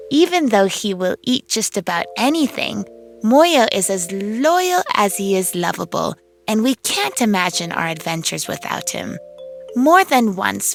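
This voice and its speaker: A woman's voice: mid-range voice, creaky. A woman voicing an upbeat, confident young girl inventor, telling a children's read-along cartoon story in an enthusiastic, encouraging way. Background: music under the voice.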